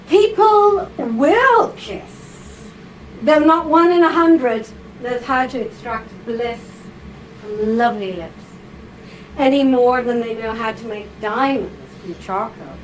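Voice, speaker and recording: A woman with a fairly high voice reads slowly and deliberately at a live event, stopping to coax and tease her volunteers. Her tone is playful and often breaks into laughter. The recording is noisy, with room ambience underneath. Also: sing-song. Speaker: reader at a writers' salon. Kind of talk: reading aloud and directing volunteers.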